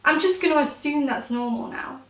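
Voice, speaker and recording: Croaky-voiced young woman, roomy. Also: high voice, brisk, recorded from a distance. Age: 20s. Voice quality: croaky, blocked-nose voice. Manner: wry, self-mocking, punchy delivery. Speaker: spoken word poet. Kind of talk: spoken word poetry performance.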